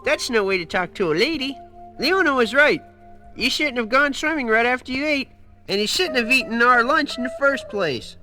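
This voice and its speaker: A man's voice: extremely high pitch, animated, moderate pace, bright, forceful tone, soft background music, voice pushed up, heavily acted. An actor voicing a kindly, heroic young panther who reassures his little brother. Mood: reassuring. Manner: reassuring, upbeat.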